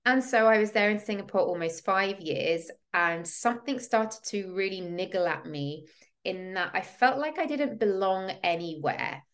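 A woman's voice: mid-range voice, lively intonation, moderate pace. A woman in her thirties from the UK, hosting a podcast and telling her personal story in an earnest, reflective way. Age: 30s. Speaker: podcast host, women's coach.

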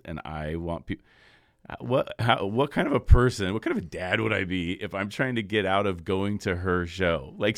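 Man, very low deep voice, dry studio mic, easygoing rambling co-host. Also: no room sound. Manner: relaxed, rambling storytelling. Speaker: co-host, stand-up comic. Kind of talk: casual radio show chat. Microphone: studio microphone.

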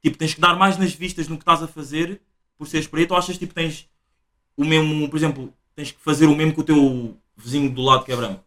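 Fast-talking young Portuguese podcast host. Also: male voice, on the high side, fairly even intonation, sharp tone. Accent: European Portuguese. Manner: rapid, uses filler words a lot. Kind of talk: casual chat.

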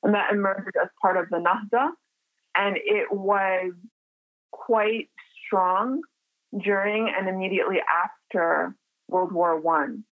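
A woman born in the United States explaining history in a measured lecture style, with occasional fillers. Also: mid-range voice, fairly even intonation, no room sound. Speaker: interviewed scholar. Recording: tinny phone-like line, faint music.

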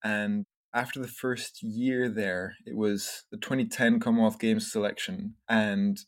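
Low-ish voiced guy in his 30s, grew up in france, laid back, lots of you know and like, answering podcast questions. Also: a little slow. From Scotland, grew up in France. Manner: relaxed, reflective, many fillers like "you know" and "like". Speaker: podcast guest, entrepreneur. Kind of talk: casual interview.

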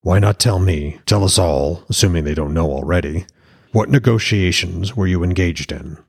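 A man's voice: sits low, normal speed, gravelly, breathy edge, heavily acted. A man voicing a stern, commanding Norse god-king and father in a fantasy audiobook. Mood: probing.

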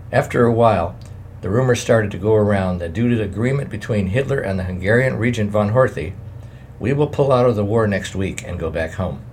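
A man reading a wartime memoir aloud in a plain, measured way, adding his own editor's notes. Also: lowish voice, darkish tone, a little hiss or hum.

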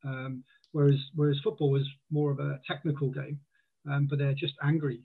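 A man's voice: a little high, level tone, normal speed, creaky, slightly rough. A man from Wales with a mixed Welsh and southern English accent, chatting casually as a podcast guest and often restarting his sentences. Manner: chatty, many restarts and acted quotes. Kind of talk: casual chat. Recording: tinny phone-like line.

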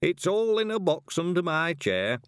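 A man voicing a frail, lonely old man who speaks slowly and feebly. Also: a little high, sing-song, clean tone, dry recording, clean studio sound, pitched above the performer's own voice. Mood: feeble. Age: older.

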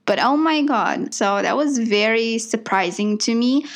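A woman's voice: a little high, expressive, unhurried. A woman from Bosnia co-hosting a casual conversation, chatty and full of "like" and "you know". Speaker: podcast co-host.

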